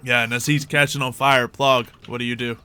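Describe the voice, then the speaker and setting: A man casually narrating and refereeing a tabletop roleplaying game as game master, improvising the story in an animated way. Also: mid-range voice, brisk, smooth.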